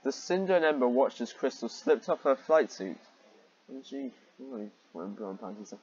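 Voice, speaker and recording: Man, mid-to-low everyday pitch, sarcastic reader, incredulous asides, swearing, muffled lo-fi recording. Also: lively intonation, normal speed, a little mellow, husky. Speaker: reader and commentator of amateur fan fiction. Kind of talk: sarcastic live reading with running commentary.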